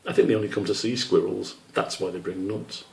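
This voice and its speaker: A man's voice: on the low side, fairly even intonation, fairly quick, vocal fry. A man voicing a curious, friendly young male squirrel who wonders at things in a children's storybook. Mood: puzzled. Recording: roomy echo, distant microphone.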